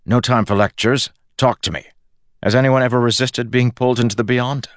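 A man voicing a cocky, determined dead crew leader in an audiobook, with a brisk, insistent and wry manner. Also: medium pitch, fairly even intonation, dry recording, studio quality, voice pushed up, lightly acted. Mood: impatient, urgent.

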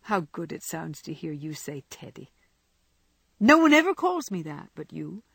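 Boyish young husband in a storybook, played by a woman, mischievous and mock-humble, lowish, slightly husky. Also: sing-song, normal speed, a bit bright, dry recording, exaggerated voice. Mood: playful. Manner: playful, mock-dignified.

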